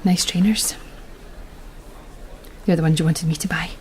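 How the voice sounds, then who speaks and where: Actress as a hushed apologetic mum, mellow voice, hesitant, faint noise around her. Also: on the low side, steady tone, measured pace, close to an everyday voice. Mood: tentative, apologetic. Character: apologetic, tentative estranged mother of a boy. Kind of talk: naturalistic screen drama acting.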